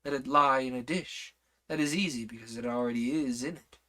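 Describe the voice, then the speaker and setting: A man voicing a gentle queen who speaks softly in a cooing manner while reciting a riddle in a storybook reading. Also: medium pitch, a little fast, lightly acted. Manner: soft, cooing, dreamy.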